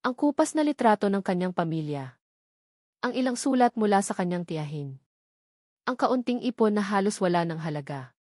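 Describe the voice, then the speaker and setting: A woman's voice: mid-range voice, expressive, normal speed, darkish tone, dry recording, studio quality. A woman reading scripted narration of a dramatic love story.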